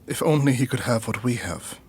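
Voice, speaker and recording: A courtly middle-aged prince with a husky voice at an ordinary male pitch. He is formal and a little pompous, devoted in love and ambitious underneath, phrasing his audio drama lines with measured care. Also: animated, a little mellow. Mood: wistful, lofty.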